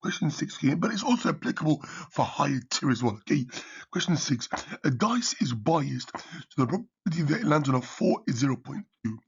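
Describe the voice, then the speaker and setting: A man working through a maths exam question as a tutor, his voice croaky from partly losing it, peppering his talk with "okay" and "yeah". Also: fairly high pitch, lively intonation, brisk, lo-fi sound. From United Kingdom. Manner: repeats "okay" and "yeah" often. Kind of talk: exam revision walkthrough.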